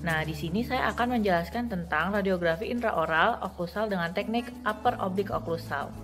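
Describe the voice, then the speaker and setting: A woman's voice: medium pitch, brisk, music in the background. A young adult woman, reading scripted instructional narration as a student presenter.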